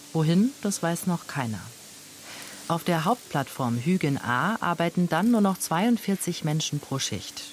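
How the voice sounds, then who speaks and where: A man giving calm, measured, scripted narration for a documentary report. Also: fairly high pitch, a little hiss or hum.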